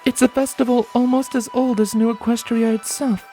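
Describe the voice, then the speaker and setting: Earnest, protective adult pony mare, played by a male reader, firm and guarded, raised high in pitch, storybook reading. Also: little pitch movement, moderate pace, warm tone, soft background music. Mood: awestruck, explaining.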